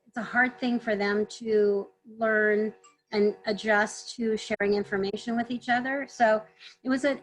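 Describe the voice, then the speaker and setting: A woman's voice: fairly high pitch, poor audio. Woman losing her voice on a webinar call. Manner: earnest, clears throat often. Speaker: trade consultant guest. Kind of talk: webinar panel answer. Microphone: video call.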